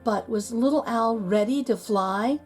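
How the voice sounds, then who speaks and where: Slow, animated female story reader for children, fairly high voice. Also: faint music behind. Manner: slow, expressive storytelling with lively pitch. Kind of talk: picture book read-aloud.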